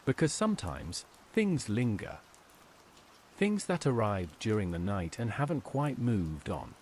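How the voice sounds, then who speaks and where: A man's voice: fairly low pitch, very animated, measured pace, darkish tone, slight background noise. A man voicing a tired, stern older diner waitress in a horror story, giving grave, cryptic instructions. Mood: grave warning.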